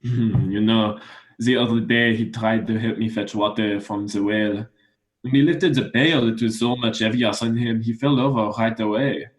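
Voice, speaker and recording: A man's voice: fairly low pitch, normal speed, natural voice, rough recording. A young man playing a patient, explanatory father of small children in a radio play. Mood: fondly amused. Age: young adult.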